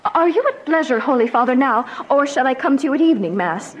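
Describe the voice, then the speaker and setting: Woman playing young noblewoman, very high voice, impassioned, desperate, formal verse, tragic radio drama. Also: animated, quick pace, rough recording. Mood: guarded. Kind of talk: theatrical Shakespearean radio drama acting.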